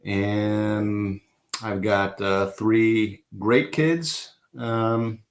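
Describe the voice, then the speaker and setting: A middle-aged man from the East Coast of the United States, telling his career story in an online interview, unhurried and halting with many fillers and restarts. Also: lowish voice, fairly even intonation. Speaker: interview guest. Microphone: video call.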